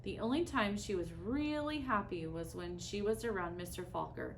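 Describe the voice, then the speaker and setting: A woman reading a children's story aloud expressively, with deliberate pauses and dramatic emphasis. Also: medium pitch, creaky, a little hiss or hum.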